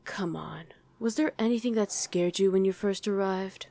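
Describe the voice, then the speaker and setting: A woman's voice: mid-range voice, normal speed, creaky, mellow, lightly acted. A woman playing a wry, easily irritated demon in a conversational ASMR roleplay, chatting and bickering with a partner. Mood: casual, curious. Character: female demon on mortal-hunting duty, wry, easily irritated. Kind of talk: ASMR roleplay acting to an unheard listener.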